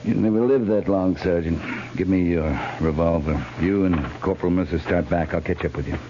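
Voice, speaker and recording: An actor playing a stern, resolute cavalry captain in a 1950s radio western, speaking in a clipped, grave, commanding way. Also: male voice, low voice, a little fast, natural voice. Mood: grim, commanding. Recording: muffled, noisy 1950s radio recording.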